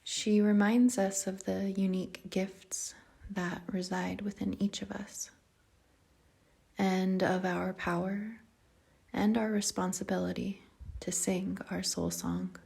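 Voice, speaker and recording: A woman guiding a meditation in a soft, breathy, husky voice, speaking calmly and gently in short, measured phrases with long pauses. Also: medium pitch. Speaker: yoga nidra meditation guide. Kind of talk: guided meditation, slow soothing narration.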